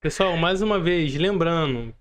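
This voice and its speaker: A man's voice: on the high side, animated, measured pace, smooth. A Brazilian man hosting a podcast interview in a casual, informal way, repeating himself for emphasis. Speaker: podcast host.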